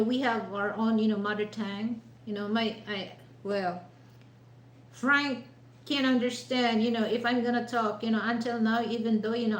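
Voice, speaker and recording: A woman's voice: on the high side, reverberant, low-quality recording. A woman from the Philippines with a Filipino accent, chatting casually on a livestream while eating dinner, rambling with many "you know" fillers. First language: Mandaya. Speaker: livestream host.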